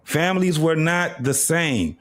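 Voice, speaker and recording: A man's voice: fairly high pitch, animated, measured pace, fairly bright tone. A man in his fifties talking in a casual, heated panel discussion, explaining at length and repeating his points emphatically. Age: 50s.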